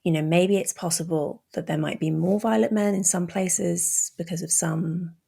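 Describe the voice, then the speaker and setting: Low, creaky British woman interviewer. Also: fairly even intonation, normal speed, warm tone. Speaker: podcast host. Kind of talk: conversational interview, reflective anecdote.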